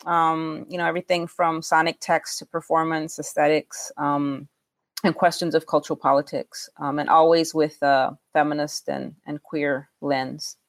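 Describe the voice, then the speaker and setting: A woman's voice: deep voice, flat delivery, normal speed, dry recording. A woman professor guest on a podcast, speaking hesitantly with many "um" and "uh" fillers. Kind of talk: casual academic talk.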